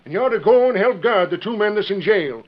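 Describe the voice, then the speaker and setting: A man's voice: high voice, expressive, fairly quick. An actor playing a decisive, folksy frontier townsman in an old western radio drama, speaking emphatically on a vintage recording. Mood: relieved, eager. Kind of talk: 1930s-40s western radio drama acting. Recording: dull vintage radio recording, busy background.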